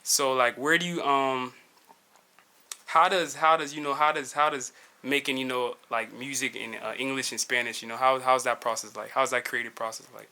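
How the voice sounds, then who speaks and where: A man interviewing an artist at a lounge venue, speaking casually and haltingly, with many restarts. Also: medium pitch, steady tone, sharp tone.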